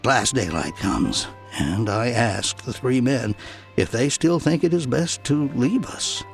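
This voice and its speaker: A man narrating an explorer's journal as an audiobook, telling it in a measured, dramatic way with deliberate pauses. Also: medium pitch, expressive, creaky, faint music behind.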